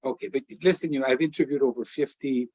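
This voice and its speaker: Effusive, halting older man interviewer. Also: mid-range voice. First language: English. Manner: warm, effusive, halting with many restarts. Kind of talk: casual interview.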